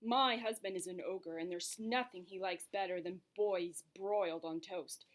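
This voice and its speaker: A woman's voice: fairly low pitch, normal speed, natural voice. A woman voicing an ogre's big, bossy wife who scolds and coaxes in a children's puppet show. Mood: gruff warning. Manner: sing-song, swooping between scolding and coaxing.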